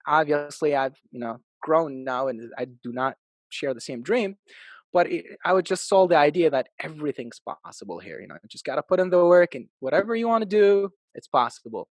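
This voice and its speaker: A man's voice: fairly high pitch, expressive, a little fast, dry recording. Upbeat storytelling man from Iraq. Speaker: podcast guest, real estate agent. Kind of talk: casual interview storytelling.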